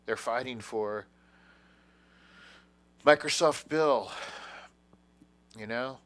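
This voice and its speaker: A man in a casual chat, talking in an animated, mocking way and calling his friend dude. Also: mid-range voice, drawn-out words.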